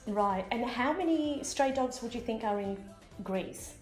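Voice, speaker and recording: A woman interviewing a guest on a TV show, asking prepared questions in a measured, courteous way. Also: a little high, lively intonation, reverberant, soft background music.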